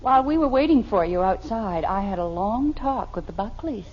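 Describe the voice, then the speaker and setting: A woman's voice: mid-range voice, animated, normal speed, lightly acted. An American actress playing a patient, sensible wife and mother in 1950s radio comedy style, placating and dryly teasing. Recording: dull, noisy old radio recording.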